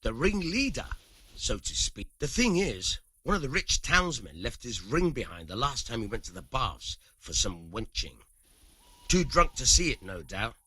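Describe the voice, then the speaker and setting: An actor playing a cynical, rough-tongued medieval commoner with a husky voice and colloquial, emphatic delivery in a video game. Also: male voice, medium pitch, sing-song, moderate pace, pushed and bright, dry recording, exaggerated voice. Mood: wry, conspiratorial. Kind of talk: video game dialogue acting.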